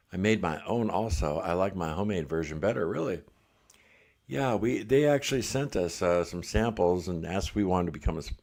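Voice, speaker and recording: A middle-aged man hosting a casual livestream, chatting and reading comments, a little congested. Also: low voice. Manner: relaxed, chatty, laughs often. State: congested, blocked ear. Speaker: livestream host.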